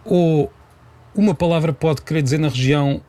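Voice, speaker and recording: A man's voice: on the high side, moderate pace, warm tone. A man with a European Portuguese accent explaining things in unscripted conversation, in a didactic, emphatic way, rephrasing as he goes. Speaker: language expert, regular guest.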